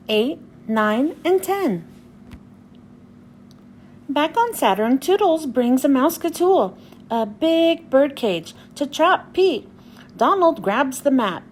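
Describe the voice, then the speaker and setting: A woman narrates a children's storybook in a high voice. Her pitch moves in lively, expressive swings. There is faint background noise on the recording. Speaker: children's book reader. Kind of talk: storybook read-aloud narration.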